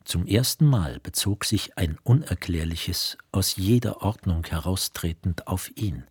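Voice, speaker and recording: A man narrating a literary radio play from a script in a measured, serious manner. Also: sits low, animated, breathy edge, no echo, clean studio sound.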